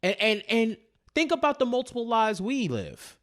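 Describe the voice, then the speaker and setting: A man's voice: very high voice, huge pitch swings, moderate pace, fairly bright tone. A man co-hosting a casual TV recap, talking in slang, repeating phrases as he thinks and often checking in with "right?". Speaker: podcast co-host.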